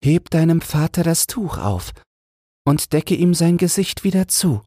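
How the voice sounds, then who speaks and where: A young queen voiced by a male reader speaks in a raised, breathy voice. She is gentle, patient and pious, in a fairy tale read aloud. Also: on the high side, animated, measured pace, no room sound, clean studio sound, voice pushed up. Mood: gentle, calm.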